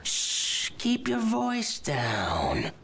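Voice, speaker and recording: Sly, swooping shady trader. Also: male voice, a little high, wildly swooping pitch, gravelly, exaggerated voice. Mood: guarded, sly. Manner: conspiratorial, drawn-out. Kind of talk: video game character dialogue. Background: game music.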